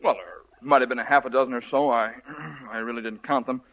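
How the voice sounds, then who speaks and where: An actor playing a sly, scheming cavalry colonel who gives hushed, brisk orders, in a 1940s radio comedy. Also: male voice, mid-range voice, steady tone, lightly acted. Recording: thin phone-like old radio recording.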